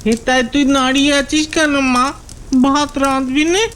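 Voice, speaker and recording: A woman's voice: high voice, steady tone, unhurried, a bit bright, a little hiss or hum. A woman voicing a poor, devoted village boy in a cartoon, anxious, questioning and insistent, with a rural Bengali accent. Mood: hungry, nagging. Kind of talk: melodramatic cartoon voice acting. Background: music.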